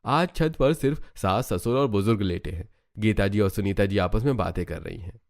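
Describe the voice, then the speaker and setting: A man's voice: on the low side, normal speed. A man narrating a scripted cartoon story.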